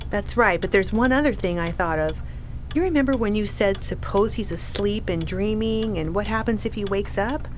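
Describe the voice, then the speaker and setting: A woman's voice: medium pitch, normal speed, slight background noise, close to an everyday voice. A woman playing a dry, practical, anxious pregnant wife in a radio play. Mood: dry, uneasy. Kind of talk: radio drama acting.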